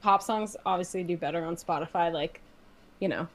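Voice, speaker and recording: A young woman, a singer-songwriter, chatting casually as an interview guest, full of "like" and acting out her own reactions. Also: brisk. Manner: chatty, fillers, acts out her own reactions with rising pitch.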